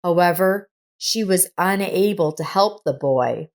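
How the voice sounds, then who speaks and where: A woman reading a scripted story in a measured storytelling manner. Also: on the low side. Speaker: story narrator. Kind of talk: scripted audiobook-style narration.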